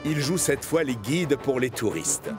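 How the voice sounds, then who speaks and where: A man narrating a children's cartoon story in an expressive storytelling manner. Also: mid-range voice, measured pace, over music.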